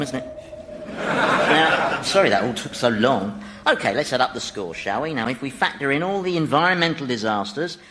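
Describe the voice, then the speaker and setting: An actor playing a sardonic, mocking Devil who chats like a gleeful tour guide in a radio sitcom. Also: male voice, mid-range voice, fairly bright tone. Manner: mocking, chatty, mock-polite.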